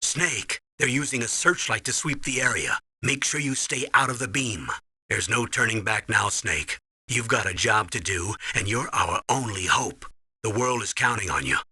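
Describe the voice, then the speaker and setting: An actor playing a stern older military commander, giving authoritative, urgent briefings in a video game with a husky voice. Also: male voice, lowish voice, moderate pace, bright, forceful tone, dry recording, full character voice. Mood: instructive, then grave, urging. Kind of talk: video game voice acting, tutorial instructions.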